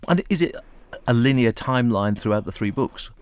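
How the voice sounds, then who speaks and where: A man hosting a radio interview, asking questions in a lively, conversational way. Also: mid-range voice, moderate pace, no room sound, clean studio sound. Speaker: radio presenter.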